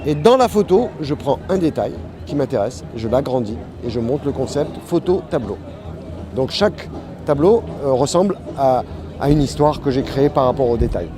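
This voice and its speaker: A man's voice: medium pitch, expressive, moderate pace, noisy background. A man being interviewed as a painter, talking casually and enthusiastically outdoors at a festival. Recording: music behind, outdoor event.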